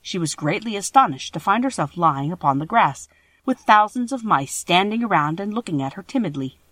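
A woman's voice: on the low side, expressive, fairly quick, fairly bright tone, dry recording. A woman reading a children's story as scripted audiobook narration.

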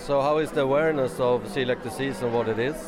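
A man's voice: medium pitch, fast, a crowd in the background, noisy background. A man working as an interviewer, asking questions in a plain interview style.

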